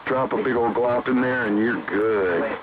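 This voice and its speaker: A man's voice: mid-range voice, unhurried, over a noisy crowd. An older American man chatting casually over CB radio, rambling in a folksy, blunt, salty way. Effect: CB single-sideband radio. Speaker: amateur CB radio operator. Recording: tinny narrowband CB radio, loud static.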